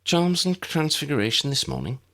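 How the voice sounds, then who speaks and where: Man voicing a chirpy, high-pitched schoolgirl. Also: wildly swooping pitch, brisk, sharp tone, heavily acted. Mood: bright, friendly. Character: friendly eager schoolgirls at a wizard school.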